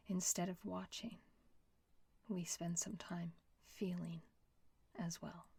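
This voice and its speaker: A woman leading a guided meditation in a soft, whispery, gentle voice with long pauses. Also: fairly low pitch, vocal fry, breathy edge, no echo.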